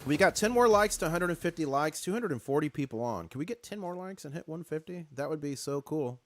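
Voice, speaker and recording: A man hosting a casual live stream with a hoarse voice, chatty and excitable, reading viewer comments aloud. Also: on the high side, fast talker, faint music behind.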